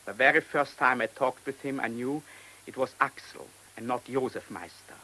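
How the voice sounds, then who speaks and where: An actor in a radio drama playing a cunning, self-assured German man who speaks with deliberate, mocking polish in a German accent. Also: mid-range voice, pushed and bright, poor audio. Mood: grave, measured. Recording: hissy old radio play recording.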